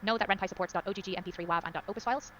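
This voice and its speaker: A tutorial presenter with a medium-pitched voice races through step-by-step instructions. The manner is plain and instructional, with faint noise behind. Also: very fast, a bit bright.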